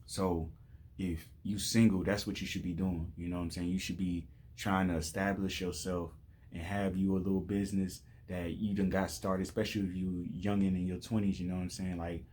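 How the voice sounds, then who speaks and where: A man's voice: low-pitched, flat delivery, fairly quick, a little mellow. A man in his late twenties giving life advice in a loose, rambling monologue, with many restarts and "you know what I'm saying". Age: 20s. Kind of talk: casual motivational monologue.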